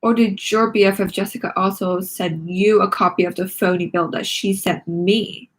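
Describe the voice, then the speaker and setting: A woman's voice: medium pitch, steady tone, normal speed, breathy edge, close to an everyday voice, low-quality recording. A woman voicing an earnest, emotional schoolgirl heroine from a storybook. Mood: suspicious. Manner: earnest, dramatic.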